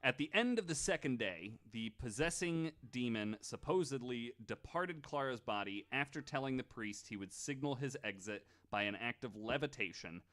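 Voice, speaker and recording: A man's voice: medium pitch, expressive, moderate pace, few pauses, a bit bright. A man hosting a podcast, relating a story from his notes in a loose, casual way with lots of fillers and restarts. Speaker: podcast host.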